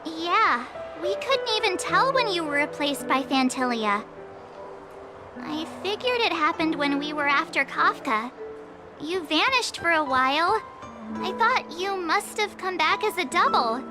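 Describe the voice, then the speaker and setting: A friendly young girl adventurer from a video game. Her voice is very high and swings freely in pitch, casual and curious. Loud music runs behind her. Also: measured pace. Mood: concerned, frank. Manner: casual, chatty, animated. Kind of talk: English video game voice acting. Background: loud background music.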